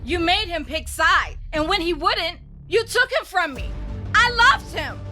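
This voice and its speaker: A woman's voice: very high voice, expressive, a little slow. A woman playing a jealous, anguished young female Jedi in an audio drama, crying out with fierce emotion. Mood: enraged, hurt. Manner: crying out, impassioned. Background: music.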